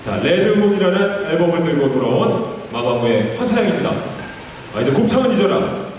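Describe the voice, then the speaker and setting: Lively male emcee over booming hall. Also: brisk, slight background noise. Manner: upbeat, joking, directing poses. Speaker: event emcee. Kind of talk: live showcase hosting. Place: large indoor stage with music. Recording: roomy, far off, loud music.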